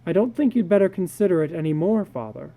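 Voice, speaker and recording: Petulant, defiant little boy for a storybook, voiced by an adult narrator; raised pitch, soft dark timbre, menacing coolness. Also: expressive, quick pace, close to an everyday voice. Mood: cold, defiant. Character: Peter, sullen, defiant young son.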